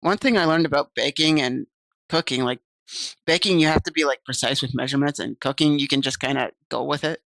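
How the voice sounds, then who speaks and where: A woman's voice: very deep voice, brisk, gravelly, a bit bright, no echo, poor audio. Woman streamer reading a game aloud. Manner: chatty, reads game text aloud and answers chat. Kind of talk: casual stream, reading a visual novel aloud.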